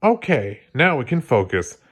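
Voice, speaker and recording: A man's voice: mid-range voice, sing-song, normal speed, fairly bright tone, husky. A man voicing a caring but firm superhero mother who is easily exasperated, in a storybook reading. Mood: patient, coaxing.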